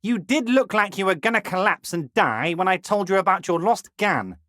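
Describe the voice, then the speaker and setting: A smug male union boss from a video game speaks in a high, highly animated voice. He is unctuous and theatrical, dripping with false chumminess and condescension. The sound is close and dry. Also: moderate pace, no room sound. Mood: teasing flattery. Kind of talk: scripted game character dialogue.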